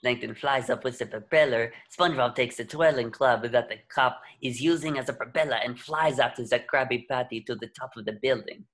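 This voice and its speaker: Mid-pitched man reading stage directions. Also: fairly quick, hardly any pauses, fairly bright tone. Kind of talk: scripted narration.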